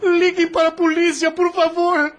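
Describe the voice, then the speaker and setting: A greedy young son in a radio drama, his voice pitched up and strained into frantic shouts of fake grief. Music runs beneath him. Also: little pitch movement, normal speed. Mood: feigned panic. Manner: loud frantic pleading shouts. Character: greedy young man faking grief over mother.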